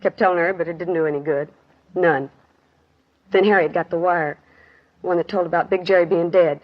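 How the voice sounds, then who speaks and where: A woman's voice: fairly low pitch, steady tone, normal speed, lightly acted. An actress in a 1950s radio drama playing a weary, grieving working-class woman who tells her story in plain, clipped sentences. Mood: bitter, sad. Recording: dull 1950s radio recording.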